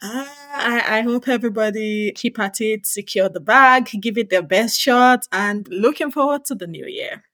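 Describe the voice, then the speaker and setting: A woman's voice: fairly high pitch, moderate pace, hardly any pauses, a bit bright, no room sound. A woman with a Nigerian accent talking casually as an interview guest. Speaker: podcast guest, data scientist and technical instructor.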